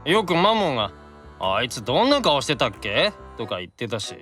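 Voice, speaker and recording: An actor playing a tipsy, affectionate young demon brother in a Japanese audio drama, earnest and insistent with childlike drunken slurring. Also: male voice, medium pitch, big pitch swings, brisk, sharp tone, soft background music. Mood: earnest, concerned.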